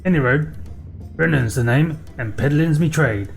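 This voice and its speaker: A man's voice: fairly high pitch, normal speed, creaky, soft background music. A man voicing a chatty male peddler on a ship in a game, talking in a folksy, rambling way. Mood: friendly. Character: chatty male merchant sailor passenger.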